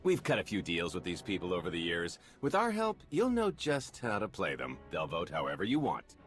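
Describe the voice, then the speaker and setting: A man's voice: low voice, wildly swooping pitch, moderate pace, a bit bright, soft background music, exaggerated voice. An actor playing a savvy male underworld fixer in a video game, matter-of-fact and streetwise in manner. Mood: knowing.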